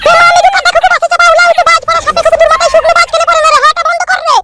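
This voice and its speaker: A woman voicing a jealous, scolding village wife in a comedy cartoon, with a pitched-up voice. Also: flat delivery, rapid-fire. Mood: furious, scolding. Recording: loud music behind the voice.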